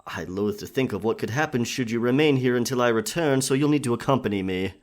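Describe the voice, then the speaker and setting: A man's voice: mid-range voice, lively intonation, fast talker, natural voice. A man voicing an earnest, courteous royal knight in a scripted roleplay audio, speaking formally and chivalrously. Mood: earnest, wary. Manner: formal, chivalrous, wordy speech.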